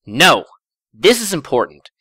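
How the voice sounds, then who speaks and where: A man's voice: fairly high pitch, very animated, measured pace, sharp tone, breathy edge, no echo, clean studio sound, voice pushed up, heavily acted. A man voicing a flustered, insistent young female pony in a story reading, urgent and prone to stammering. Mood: indignant, insistent.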